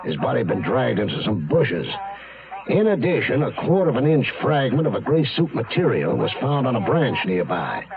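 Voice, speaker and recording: A county sheriff in a vintage radio thriller. He is grim and brisk, with a creaky, expressive voice. The recording is muffled, with voices behind. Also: mid-range voice, crowd noise. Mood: grave, matter-of-fact. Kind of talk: 1970s radio drama acting.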